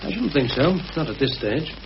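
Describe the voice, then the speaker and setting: An actor playing a cautious, anxious British conspirator in a radio play, speaking in a deliberate, hesitant, earnest way. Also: male voice, fairly high pitch, animated. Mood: resolute, cautious. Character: anxious, cautious male conspirator confiding a grave suspicion. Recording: muffled, hissy old radio recording.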